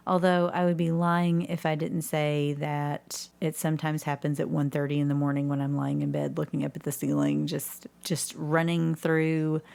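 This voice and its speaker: Casual woman with a creaky, low voice. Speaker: podcast guest, community theatre actress. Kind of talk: casual interview chat.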